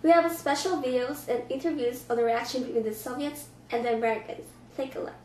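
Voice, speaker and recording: A woman's voice: sits high, fast talker, a little mellow. A young woman delivering scripted lines as an amateur newscast anchor. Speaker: student news anchor. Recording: echoey room, faint background noise.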